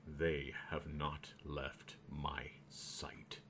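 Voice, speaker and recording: A man's voice: very deep voice, flat delivery, very slow, vocal fry, a bit bright, a little hiss or hum, full character voice. A man playing a stolid, hulking earth pony henchman in an audio drama, with a stiff, formal delivery. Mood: solemn.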